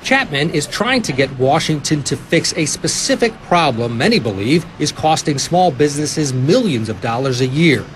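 A man in his forties reports the news from outdoors on a small-town street, wearing a lapel mic. His voice sits a little high for a man, and his delivery is clear and measured. A faint hum of traffic runs behind him. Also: animated. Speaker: field reporter.

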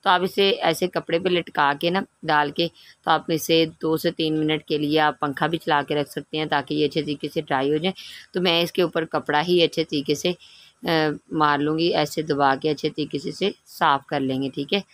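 A woman's voice: sits low, fairly even intonation, normal speed, no echo. A woman hosts a home cooking tutorial in a casual, explanatory style. She talks the listener through things step by step and keeps checking that they are following along. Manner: instructive, repeatedly checking in with the listener. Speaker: home cooking channel host. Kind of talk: casual recipe tutorial narration.

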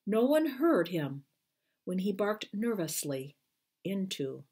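Older woman reading a storybook, lively and unhurried. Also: medium pitch, husky. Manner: careful, deliberate storytelling with emphatic phrasing. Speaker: children's book author reading aloud. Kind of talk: expressive storybook read-aloud for children. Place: small furnished room at home, indoors.

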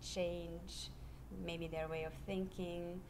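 A middle-aged woman from Serbia, a high school history teacher, speaking in a measured, earnest way with many pauses in a classroom interview on a handheld mic. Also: level tone, noisy background. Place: classroom, indoors.

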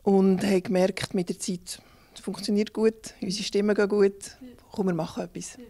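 A woman in her forties with a Bernese Swiss German accent, answering interview questions softly and a little breathily in a small studio room. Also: medium pitch, little pitch movement, moderate pace. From Bern, Switzerland. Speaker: singer and teacher, interview guest. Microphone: handheld mic held by interviewer.

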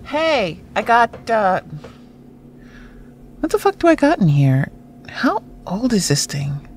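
An actress playing a blunt, wry, loyal friend in a naturalistic audio drama, speaking casually. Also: female voice, a little high, sing-song, slow pace, slight background noise. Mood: casual, musing. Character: blunt, foul-mouthed, loyal woman friend, homebody.

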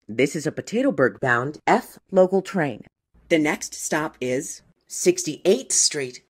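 A woman's voice: deep voice, animated, slow, breathy edge. A woman giving scripted, formal train announcements in segmented phrases, like an automated transit system.

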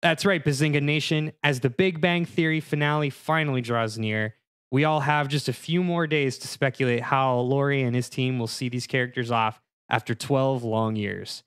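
A man's voice: medium pitch, moderate pace, a bit bright, clear, resonant tone, no echo, clean studio sound. A man hosting a podcast, introducing the show in a casual, conversational style. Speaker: podcast host.

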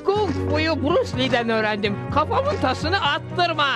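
High-voiced theatrical cartoon poet. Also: male voice, very animated, unhurried, heavily acted. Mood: defiant. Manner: declaims in rhyme like reciting poetry. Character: lanky young dandy poet, rhyming, dramatic and lovestruck. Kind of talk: Turkish-dubbed cartoon acting. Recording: loud music under the voice.

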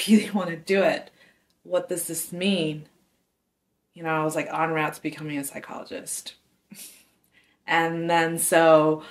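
A woman in her twenties from Taiwan, a dancer, speaking softly and thoughtfully in an interview with some halting pauses. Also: sits low. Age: 20s. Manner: soft-spoken, thoughtful, halting. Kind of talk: interview testimony. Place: dark studio indoors.

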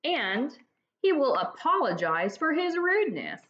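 A genial storybook father read by a woman, proud of his sons and firm about manners. The delivery is animated, and the recording is a little dull. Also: sits high, a little slow, pitched above the performer's own voice, exaggerated voice. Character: genial father of two boys, polite but firm. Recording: dull lo-fi webcam audio.

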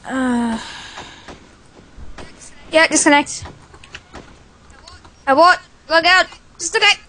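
High-voiced woman chatting over a game. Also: animated, slow pace, bright, forceful tone, slight background noise, low-quality recording. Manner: chatty, hesitant with many fillers. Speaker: gamer. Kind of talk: casual voice chat while gaming.

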